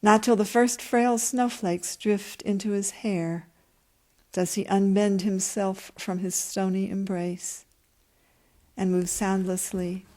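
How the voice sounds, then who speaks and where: A woman's voice: medium pitch, steady tone, slow pace, darkish tone. A woman novelist speaking at a book event, reading from her work and answering questions.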